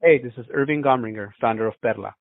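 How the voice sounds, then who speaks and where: Fast-talking man on a tinny line. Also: mid-range voice, dry recording. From Peru. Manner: brisk scripted delivery. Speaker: brand founder reading an ad. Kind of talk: read sponsor ad. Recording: tinny dry phone-like sound.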